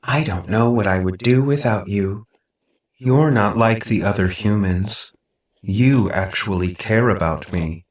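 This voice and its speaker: A man's voice: fairly low pitch, measured pace, reverberant, recorded from a distance, close to an everyday voice. A man voicing a robot girl in an audiobook, sweet and affectionate on the surface, clingy and manipulative, with precise, insistent phrasing. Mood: pleading, clingy. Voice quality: synthetic, affectionate tone.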